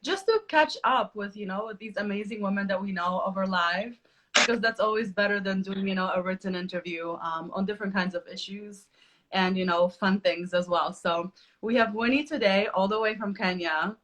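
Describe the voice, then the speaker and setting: A woman's voice: medium pitch, moderate pace, fairly bright tone, low-quality recording. A woman hosting a casual live video interview from New York over a video call, chatty and laughing often, with many fillers. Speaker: podcast host.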